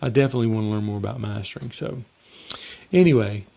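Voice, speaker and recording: A man hosting a casual live Q&A as a mixing coach, slightly hoarse and rambling in a conversational way. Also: on the low side, fast talker, mellow. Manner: rambling and conversational, with frequent fillers and restarts. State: slightly hoarse, losing his voice. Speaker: mixing coach and show host.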